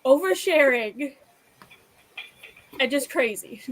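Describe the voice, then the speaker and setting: An eighteen-year-old woman chatting in a bubbly, quick way on a video call. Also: high voice, expressive, rough recording. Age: teens. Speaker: guest. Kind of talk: casual group chat.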